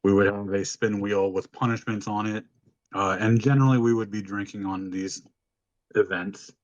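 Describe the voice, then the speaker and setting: Guy with a deep low voice, podcast co-host just shootin the shit, sweary, kinda dull lo-fi audio. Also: steady tone, normal speed, dry recording. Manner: informal, swearing freely. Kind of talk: casual chat, storytelling.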